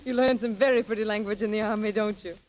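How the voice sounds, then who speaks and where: An actress playing a prim, dry-witted young woman working in a wealthy household, in crisp old-time radio style. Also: medium pitch, steady tone, quick pace, darkish tone, natural voice, rough recording. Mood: wry, polite. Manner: crisp, wry, composed.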